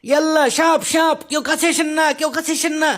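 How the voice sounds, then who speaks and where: A man playing a frightened, grumbling young village gravedigger in a cartoon tale, excitable and complaining. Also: very high voice, fast. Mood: panicked. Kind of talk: Islamic moral cartoon story acting.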